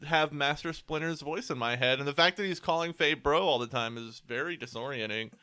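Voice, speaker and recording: A man's voice: a little high, a little fast, sharp tone, smooth. A man co-hosting a gaming podcast, talking in casual chat. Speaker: podcast co-host.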